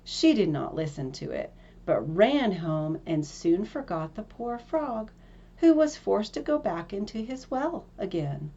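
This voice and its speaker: A woman's voice: mid-range voice, sing-song, unhurried, dark tone, highs cut off. A woman narrating a fairy tale from a script as a storyteller.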